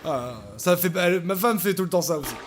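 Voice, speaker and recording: A man with a high voice gives jokey, slangy commentary on a cartoon. He is crude and mocking and exclaims often, while music plays clearly behind him. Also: brisk. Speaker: host of a comedic cartoon review show. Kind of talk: jokey reaction commentary, casual French slang.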